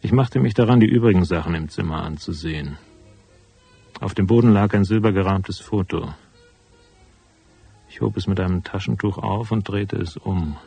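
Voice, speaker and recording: Noir radio drama lead: tough, cynical private eye, very low gravelly voice, laconic, faint music behind. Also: male voice, normal speed, pauses often, dark tone, full character voice. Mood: brooding, matter-of-fact. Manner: laconic, unsentimental, curt. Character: hard-boiled, tough, cynical male private detective. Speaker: narrator and lead character.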